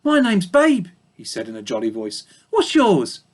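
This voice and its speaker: Man voicing young pig, polite, jolly, high lively voice. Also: measured pace, exaggerated voice. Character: polite young piglet, earnest and eager.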